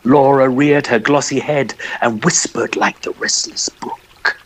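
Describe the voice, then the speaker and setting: Measured man narrating dramatic verse slowly. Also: mid-range voice. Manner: theatrical, measured, expressive.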